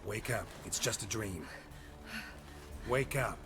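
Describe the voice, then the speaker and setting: Low, deadpan monster hunter. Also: male voice, slow. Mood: calm, firm. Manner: deadpan, terse. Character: stoic male monster hunter, wry and world-weary. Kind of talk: video game voice acting.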